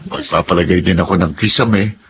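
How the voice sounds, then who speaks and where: A man's voice: deep voice, a little fast, fairly bright tone, a little hiss or hum. An actor playing a polite, deferential young husband living with his in-laws in a radio drama. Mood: polite, apologetic. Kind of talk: radio drama narration and dialogue.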